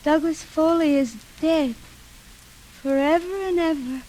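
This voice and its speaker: A reclusive, disturbed woman in a vintage radio thriller. Her voice is very high, agitated and threatening, with a confused, repetitive way of talking. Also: measured pace, warm tone, poor audio. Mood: dazed, bewildered. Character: hostile, confused reclusive aunt living in squalor. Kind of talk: 1940s radio acting.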